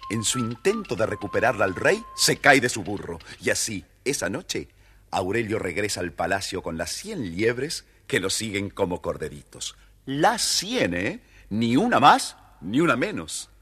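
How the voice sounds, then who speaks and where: Un hombre que narra un cuento infantil de forma teatral y juguetona, con voz ronca y velada. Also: male voice, lowish voice, big pitch swings, measured pace, a bit bright, slightly rough. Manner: theatrical, playful. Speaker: storyteller narrator. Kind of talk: expressive scripted children's story narration.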